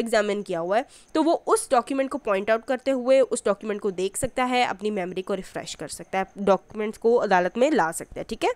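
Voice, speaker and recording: Female tutor for explanatory lecture: slightly high pitch, very dry recording, didactic, switches into English for terms and read-out text. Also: no echo. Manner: didactic, mixes Hindi-Urdu with English terms, repeats check phrases. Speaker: law tutor.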